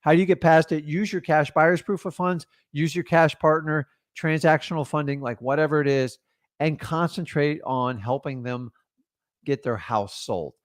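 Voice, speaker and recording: A man's voice: a little high, no echo, clean studio sound. A middle-aged man coaching on real estate deals, talking in an emphatic, motivational, direct way. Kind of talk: energetic coaching talk.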